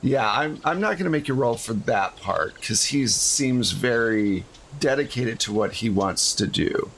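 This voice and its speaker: A man's voice: medium pitch, animated, a little slow, fairly bright tone, slight background noise. A man narrating a tabletop roleplaying game as game master, in a casual, conversational style.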